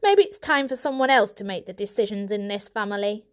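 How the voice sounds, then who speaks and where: A woman's voice: mid-range voice, fairly quick, clean tone, close to an everyday voice. Woman voicing a blustering, dismissive father cat. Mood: dismissive, defiant. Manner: dismissive, assertive. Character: complacent, blustering father cat, adult male.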